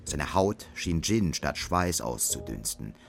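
A male narrator tells a radio drama story from a script. His voice sits low, with music faintly behind it. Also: sing-song, slow pace, gravelly.

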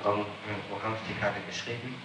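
Male interrogator, formal and insistent, very echoey archival tape with clear noise. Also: on the low side, little pitch movement, very fast, recorded from a distance. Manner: probing, insistent, challenging. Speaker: police interrogator.

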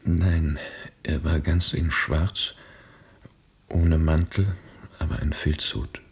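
A somber, reluctant revolutionary in a radio play. The voice is rock-bottom low, creaky and very husky. He talks in terse, subdued phrases with grave restraint. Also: slow, heavily acted. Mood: grim, reluctant.

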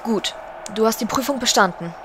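A woman's voice: a little high, normal speed, background noise, natural voice. A woman playing a regal, solemn, commanding undead banshee queen in an audio drama, speaking in a stately, formal way. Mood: ceremonial. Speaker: voice actor in a parody audio drama.

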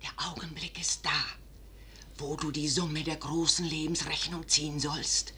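An actress playing a forceful, scheming noblewoman in a 1950s German radio drama, declaiming insistently in classical stage style with a husky voice. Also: low voice, flat delivery, measured pace, slight background noise. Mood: fierce, commanding. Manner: rhetorical, insistent, theatrically declaimed. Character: forceful, scheming noblewoman urging a wavering commander to seize power.

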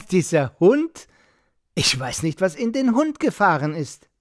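An actor playing a genial but pressing older husband and host in a radio play, theatrically courteous and insistent. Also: male voice, sits high, big pitch swings, slow pace, no room sound, studio quality, heavily acted. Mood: uneasy. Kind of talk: German radio play dialogue.

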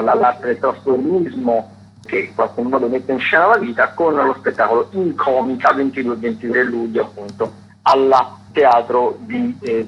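A man's voice: mid-range voice, husky. A man working as a radio critic, giving an unscripted events round-up with hesitant, digressive phrasing and self-corrections. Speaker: theatre critic, radio contributor. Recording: dull radio link with faint hum.

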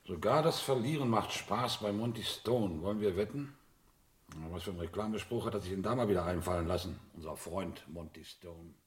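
An actor playing a persistent police inspector in a German radio crime drama, husky, formal, courteous but firm. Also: male voice, low voice, fairly quick. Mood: brisk. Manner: probing, insistent, formal.

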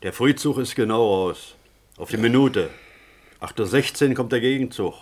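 An actor playing a wry, teasing station pub landlord in a radio drama, dry and a little hesitant. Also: male voice, medium pitch, moderate pace, husky, lightly acted. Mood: dry, needling. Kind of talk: naturalistic radio drama acting.

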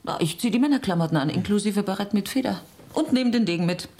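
A woman's voice: medium pitch, expressive, fast talker, a little mellow, close to an everyday voice. An actress in a radio drama playing a spirited, sceptical woman tourist who talks in a candid, impulsive, colloquial way. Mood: playful.

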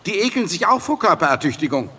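Haughty, irritable man, swinging widely in pitch. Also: sing-song, fast, bright, forceful tone, slightly rough, exaggerated voice. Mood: irritated contempt. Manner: indignant, contemptuous. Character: haughty, irritable Austrian man, scornful of others. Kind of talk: German radio drama acting.

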